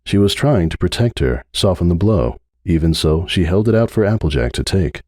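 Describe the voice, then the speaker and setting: A man's voice: low voice, animated, normal speed, mellow, no echo. A man reading a story aloud as a narrator in a dramatic audiobook style, close on the mic.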